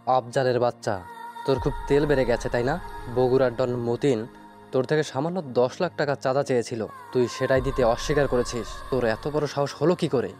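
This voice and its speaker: A man's voice: medium pitch, brisk, darkish tone, faint music behind, lightly acted. Man acting a taunting, greedy student-gang leader. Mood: angry, threatening. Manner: threatening, taunting. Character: bullying, greedy male student political leader.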